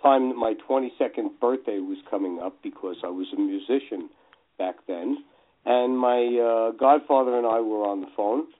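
A man with a New Jersey accent telling his story as an interview guest, often circling back on his phrases. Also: medium pitch. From northern New Jersey, US. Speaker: guest author. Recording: dull lo-fi radio call.

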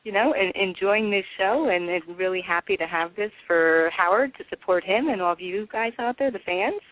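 A man's voice: high voice, moderate pace, dry recording. A man hosting a casual call-in radio show, chatting with co-host and callers over a phone-like connection. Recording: tinny phone-like band.

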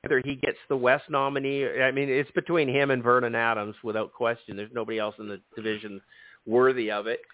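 Hoarse man on a tinny call line. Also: medium pitch, flat delivery, no room sound. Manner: halting, repeats words when starting. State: losing his voice, sore throat. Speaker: podcast host. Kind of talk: casual sports chat. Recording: dry tinny phone-like line.

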